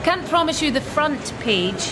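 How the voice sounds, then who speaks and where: An actress playing a sharp, sceptical newspaper journalist with a wry, teasing manner in a radio drama. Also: female voice, lively intonation, unhurried. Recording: clear background ambient rumble.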